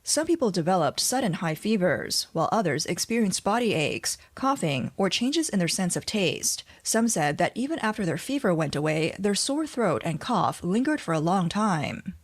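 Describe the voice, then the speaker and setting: Lower-pitched woman narrating news. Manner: even, measured reading of reports and quotes. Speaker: narrator of a news explainer video.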